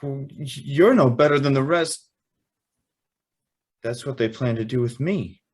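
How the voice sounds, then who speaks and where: A man's voice: mid-range voice, measured pace, breathy edge. A man playing an earnest, hesitant young man in love in an old-time radio style drama. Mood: appalled. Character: earnest, smitten young man, increasingly horrified guest.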